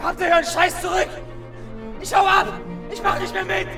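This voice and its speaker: A young soldier at breaking point in a radio drama. He yells extremely high in a gravelly, cracking voice, frantic and defiant, with music behind. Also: level tone, moderate pace. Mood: frantic defiance. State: screaming, frantic. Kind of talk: German radio drama acting.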